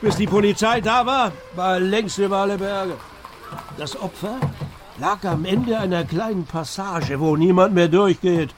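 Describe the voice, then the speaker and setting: An actor playing a chatty, opportunistic cab driver in a radio drama, talkative and brash. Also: male voice, high-pitched, measured pace, crowd noise. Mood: gossipy, scornful. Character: chatty, opportunistic London cab driver bearing grim gossip. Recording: noisy outdoor street scene.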